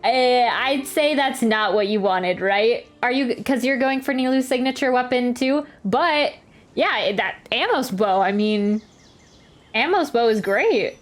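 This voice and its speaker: A woman with a somewhat high voice streams a game. She talks casually and chattily, thinking aloud and responding to chat, while faint game music plays behind her. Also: unhurried.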